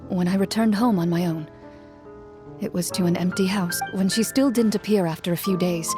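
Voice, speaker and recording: An actress playing a composed, reserved young female duelist in a video game, speaking in a formal, measured way. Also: lowish voice, over music, natural voice. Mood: calm, wistful.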